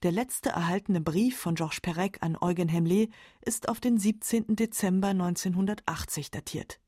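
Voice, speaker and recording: A woman's voice: lowish voice, normal speed, mellow, no echo, studio quality. A woman narrating a scripted radio feature as its narrator.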